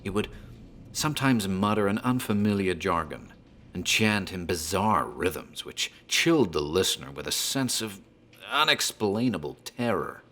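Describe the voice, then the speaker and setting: A man playing a talkative rural tavern landlord who recounts a local horror tale in a measured, ominous manner. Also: low-pitched, animated, pushed and bright, full character voice. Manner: measured, ominous, literary phrasing. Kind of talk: dramatic horror storytelling. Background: music.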